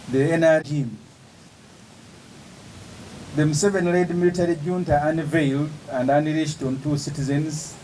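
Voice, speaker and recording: A man, an opposition politician giving an emphatic, measured press statement with pauses between phrases. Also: a little high, mellow, slight background noise, low-quality recording.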